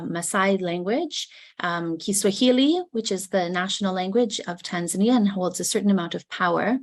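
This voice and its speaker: A woman presenting her research at an online book launch, speaking in a formal, deliberate and carefully worded way. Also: fairly low pitch. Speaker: educator presenting a chapter. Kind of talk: prepared academic presentation.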